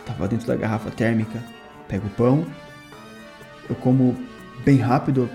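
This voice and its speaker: A man playing a tabletop game, calmly narrating his boy character's morning in the first person. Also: mid-range voice, moderate pace, soft background music. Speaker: tabletop player.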